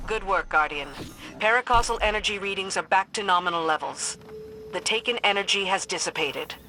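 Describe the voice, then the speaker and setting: An actress playing a dutiful, no-nonsense military commander in a game, giving brisk, clipped mission dialogue. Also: female voice, medium pitch, over music, natural voice, poor audio. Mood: businesslike.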